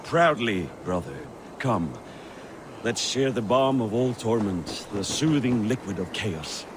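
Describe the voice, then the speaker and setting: Low-voiced veteran Viking companion. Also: male voice, expressive, slow pace, noisy background. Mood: solemn, wry. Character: loyal veteran Viking companion, stoic and lofty. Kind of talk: dramatic video game acting.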